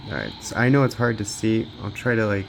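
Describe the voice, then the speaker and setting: A man's voice: lowish voice, fairly quick, gravelly, background noise. A man giving casual gameplay commentary as a handheld gaming reviewer, informally thinking aloud as he tests. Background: video game music.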